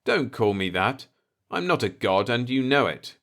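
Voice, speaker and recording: A man's voice: mid-range voice, big pitch swings, unhurried, fairly bright tone, voice pushed up. A man voicing an eager, brash human explorer, emphatic and argumentative, in a science fiction story. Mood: insistent.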